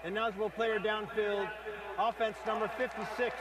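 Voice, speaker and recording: A man's voice: high-pitched, level tone, moderate pace. A man announcing penalties as a football referee, in staccato official phrases. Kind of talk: formal stadium penalty announcement. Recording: distant stadium PA, roaring crowd, heavy noise.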